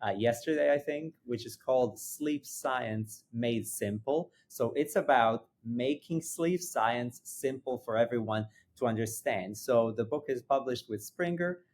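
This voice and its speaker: A man's voice: medium pitch, a little slow, hardly any pauses, a little mellow. A young man from Croatia, a physician and sleep researcher, answering interview questions in an explanatory way, joking and laughing easily.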